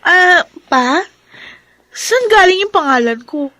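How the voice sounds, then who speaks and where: High-voiced, sweet Filipino daughter. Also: female voice, lively intonation, slow, bright, forceful tone, no echo, full character voice. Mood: cheerful, fond. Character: sweet, grateful young adopted daughter, affectionate and dreamy. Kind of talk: Tagalog radio drama acting.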